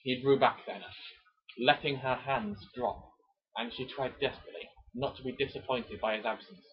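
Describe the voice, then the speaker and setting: A man with a husky voice doing an amateur mock-dramatic reading of a romance novel, with chatty asides. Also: mid-range voice, fairly even intonation, moderate pace. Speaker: amateur narrator. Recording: dull lo-fi home recording.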